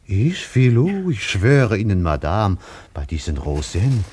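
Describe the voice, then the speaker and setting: An actor playing a flattering French seducer in a radio drama, effusive and theatrical, with a French accent and a deep, dark voice. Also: male voice, unhurried, husky, full character voice. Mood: wooing, flattering. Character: flattering French charmer wooing a woman.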